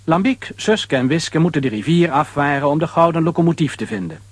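A man with a husky voice narrating a children's audio adventure as a storyteller. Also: on the high side, brisk, dry recording.

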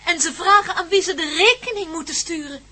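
High, bright, anxious heroine. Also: female voice, brisk. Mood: worried, reporting. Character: anxious, earnest female companion of the adventurers. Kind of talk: Dutch radio drama acting.

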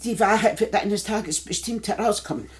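An actress as an elderly, wealthy widow in an old radio crime play. She speaks deliberately at a middle pitch, mocking and unflappable, repeating a word before she lands her point. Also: natural voice. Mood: warning, knowing. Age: older. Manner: deliberate, wry, with halting repetitions. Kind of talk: 1960 German radio play acting.

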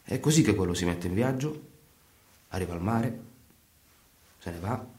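An actor playing a storyteller and troupe leader, delivering scripted radio theatre in measured phrases. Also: male voice, deep voice, expressive, a little mellow, echoey room. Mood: measured, wistful. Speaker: narrator.

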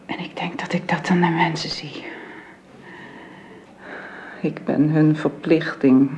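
An actress playing a long-ill bedridden wife who speaks softly and haltingly, often in a whisper, in a dull old radio drama recording. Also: female voice, sits low, fairly even intonation, slight background noise. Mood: resigned. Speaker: bedridden invalid.

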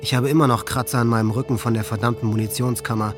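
A man's voice: medium pitch, steady tone, fast talker, music in the background, lightly acted. An actor playing a hate-filled, resentful teenage boy in a German radio drama, bitter, boastful and profane. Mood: darkly sardonic. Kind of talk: German radio docudrama monologue.

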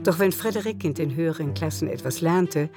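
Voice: mid-range voice, brisk. Radio play narrator over music. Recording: music behind the voice.

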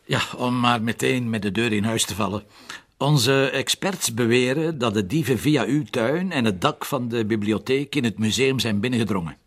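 An actor with a Flemish accent playing a wry, blunt police commissioner in a crime drama, sardonic and colloquial. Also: male voice, mid-range voice, moderate pace, dry recording, lightly acted. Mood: polite, businesslike.

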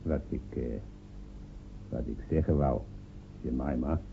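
A man's voice: rumbling low, measured pace, creaky, slight background noise, heavily acted. An actor playing a poor male villager with six children and a taste for beer, who talks in a hesitant, wheedling way. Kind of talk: radio drama acting. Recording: humming, phone-like radio recording.

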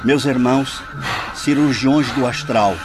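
An actor in a radio drama playing a solemn, commanding leader of a spiritist session, formal and invoking. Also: male voice, mid-range voice, steady tone, a little slow, natural voice. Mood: solemn, urgent. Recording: music under the voice.

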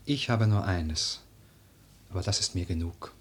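A grieving, passionate nobleman in an old-style radio play. His voice is deep and husky, and he declaims verse slowly and solemnly. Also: expressive, exaggerated voice. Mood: sombre.

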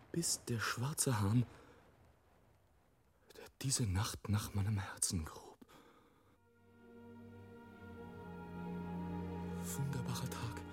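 An actor playing a lone, passionate romantic balloonist in a literary radio drama, declaiming poetically between hushed and impassioned. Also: male voice, mid-range voice, natural voice. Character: lone male balloonist, rapturous, wrathful, death-defying romantic. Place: studio radio production.

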